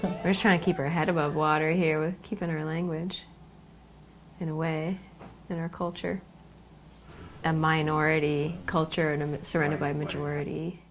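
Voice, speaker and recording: A woman organizer explaining a community gathering in an interview, steady and explanatory. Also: sits low, moderate pace, soft background music.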